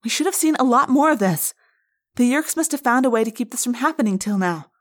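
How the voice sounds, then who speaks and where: A woman's voice: a little high, expressive, fairly quick, voice pushed up, lightly acted. A woman voicing a cautious, tough teenage girl warrior who speaks firmly. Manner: firm and level-headed.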